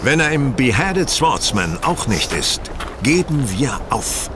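An actor playing a self-assured, courteous gentleman detective in a German radio play, polite and dryly amused. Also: medium pitch, big pitch swings, slow, background noise. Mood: composed, encouraging.

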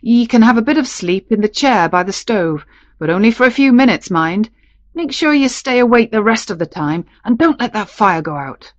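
A woman's voice: a little high, animated, normal speed, no echo, highs cut off, voice pushed up, lightly acted. A woman narrator voicing a cross old male shepherd who gives stern, rustic orders in a storybook. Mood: stern, bossy.

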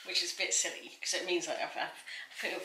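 A woman's voice: on the low side, steady tone, a little fast, sharp tone, a little hiss or hum. Chatty woman in an echoey room. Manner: chatty, many fillers and agreeing murmurs. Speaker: crochet vlogger host. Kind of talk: casual chat. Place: small indoor room on a sofa.

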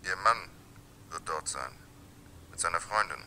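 An actor playing a secretive, insinuating anonymous caller in a crime radio drama. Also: male voice, low voice, expressive, measured pace, bright, forceful tone, heavily acted. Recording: noisy background.

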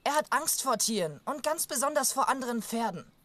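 High anxious peasant boy. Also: animated, brisk, no echo. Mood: worried. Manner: pleading, anxious. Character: worried peasant boy, timid and earnest. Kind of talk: cartoon dubbing. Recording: loud music score underneath.

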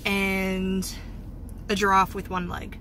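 A young woman in her twenties with a raspy voice, chatting casually to a phone camera for her travel vlog. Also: mid-range voice, completely flat delivery, slow pace. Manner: chatty, laughs easily. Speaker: vlogger. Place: home room, then inside a car. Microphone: phone camera held at arm's length. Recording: loud background noise from the car and street.

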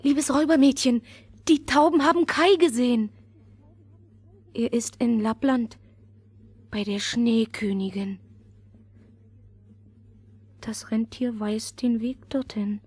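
Casting: earnest young girl, highish, breathy and gentle, audio fairy tale. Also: takes it slowly, pauses often, dry recording. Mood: pleading. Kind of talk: radio play acting.

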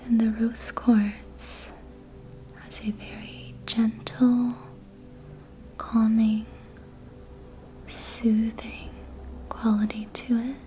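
A woman whispering softly and breathily in a gentle, soothing ASMR style, guiding a facial treatment in short phrases. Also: a little high, flat delivery, very slow, pauses often, faint music behind. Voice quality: soft, breathy unvoiced whisper. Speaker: host, reiki and facial massage practitioner. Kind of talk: ASMR, slow guided narration of a treatment.

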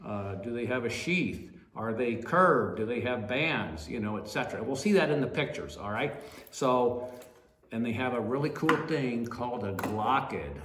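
An older man in a small office gives a casual plant class and talks straight to the camera from across the desk. His voice sits at a middle pitch and keeps checking in with "okay?" as he goes. The room adds a clear echo. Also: fairly bright tone. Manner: chatty, checks in with "all right?" and "okay?" often. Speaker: plant educator. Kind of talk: informal botany class.

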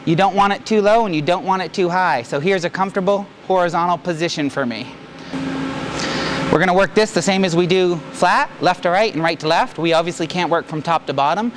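A man's voice: sits high, a little fast, background noise. A middle-aged man working as a welding instructor, explaining a hands-on demonstration to camera in a plain-spoken, practical way. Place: large indoor welding shop.

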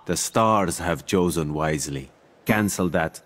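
An actor playing a serene, smugly wise seer deity in a video game, speaking in a formal, measured, theatrical manner. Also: male voice, deep voice, a bit bright, long reverb tail. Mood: smug, ominous. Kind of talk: video game character voice lines.

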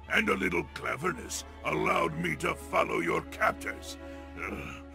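A gravelly, booming super mutant from a video game, loyal and earnest. He speaks slowly and formally, with huge swings in pitch. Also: pushed and bright, exaggerated voice. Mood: regretful. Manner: formal, eloquent, emphatic. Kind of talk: video game character acting.

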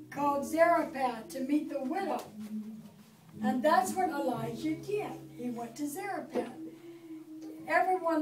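A middle-aged woman reading a Bible story to preschool children in a church classroom, with prompts for them to join in. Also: sits high. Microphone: far camera. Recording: echoey room from a distance.

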